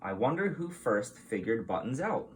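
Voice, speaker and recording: A man reading a picture book aloud to young children like a teacher, in an expressive, explanatory way. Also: medium pitch, normal speed, noisy background.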